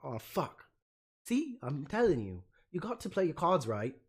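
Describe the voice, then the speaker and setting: A man's voice: a little high, very animated, a little slow, breathy edge, heavily acted. A man voicing a petulant, haughty teenage alien troll prince in an amateur comic reading, pompous and indignant in archaic, sweary speech. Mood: sulky, resigned.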